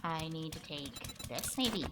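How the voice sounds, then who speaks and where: A woman's voice: low-pitched, animated, slow. A woman streaming a survival game, chatting casually and rambling with plenty of fillers. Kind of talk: casual chat while gaming. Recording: loud background noise with clicks.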